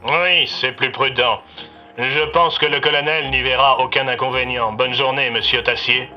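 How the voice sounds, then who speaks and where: Over a dull, filtered radio link comes a military operator, acted by a man. He is courteous and procedural, a little hesitant, in a mid-pitched voice, with faint music behind, as in an audio drama. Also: fairly even intonation, moderate pace. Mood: polite, businesslike. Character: businesslike military radio operator, secretly a villain's soldier. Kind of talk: audio fiction acting. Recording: muffled radio-style sound, faint music.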